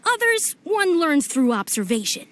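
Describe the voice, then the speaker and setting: A woman voicing a solemn little girl mentor in an anime game, speaking formally in measured, lofty words. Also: very high-pitched, big pitch swings, a bit bright, slight background noise, full character voice. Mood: instructive.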